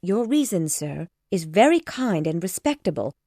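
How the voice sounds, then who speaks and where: A woman's voice: fairly low pitch, sing-song, moderate pace, mellow, no echo, studio quality. A woman voicing a spirited young woman who firmly and earnestly refuses a suitor. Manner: firm, earnest, exasperated. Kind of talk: storybook character voice.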